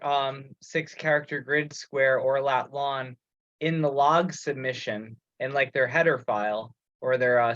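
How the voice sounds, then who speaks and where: Higher-pitched man on a muffled call. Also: a bit bright, dry recording. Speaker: meeting participant, technical organizer. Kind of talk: casual technical discussion. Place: online teleconference call. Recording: dull lo-fi teleconference audio.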